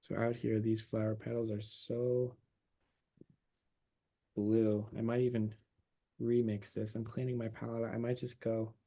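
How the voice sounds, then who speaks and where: Low-voiced man, voice a bit worn. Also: a little slow, long pauses. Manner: relaxed, thinking aloud while painting. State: losing his voice. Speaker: painting instructor. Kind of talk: live watercolor demonstration.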